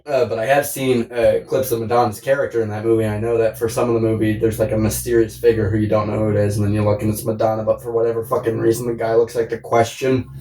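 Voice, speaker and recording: A man's voice: fairly low pitch, little pitch movement, fast, hardly any pauses, mellow, slight background noise. Guy on a podcast just riffing about movies, rambly and jokey, echoey room, bit far from the mic. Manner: jokey, rambling, often laughing as he talks. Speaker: podcast co-host. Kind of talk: casual comedic chat about films. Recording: roomy echo, distant from the mic.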